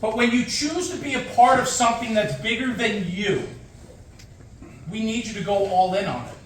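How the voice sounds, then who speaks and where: A man's voice: high-pitched, moderate pace, fairly bright tone. Guy giving a punchy motivational speech, really echoey, mic far off, repeats himself for emphasis. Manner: emphatic, punchy short phrases with rhetorical questions and repetition. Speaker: guest speaker, firefighter. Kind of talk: motivational conference talk. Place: echoey indoor room, speaking to an audience. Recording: echoey room, distant mic, faint noise.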